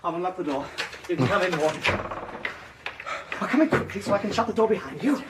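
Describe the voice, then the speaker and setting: An actor playing an anxious, sensible British gentleman in a radio horror drama, reassuring yet hesitant. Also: high-pitched, lively intonation, fast. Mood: alarmed, relieved. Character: sensible, anxious gentleman, the supportive friend trying to stay rational. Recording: noisy vintage radio drama broadcast.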